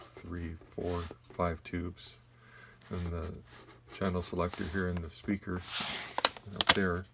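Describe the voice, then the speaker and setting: A man with a low-pitched voice talks casually through a hands-on demonstration. He is plain-spoken and a little halting, feeling his way as he goes. Clear noise sits behind him, as in an amateur home video. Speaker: radio collector giving a demonstration. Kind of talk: casual unscripted product demonstration.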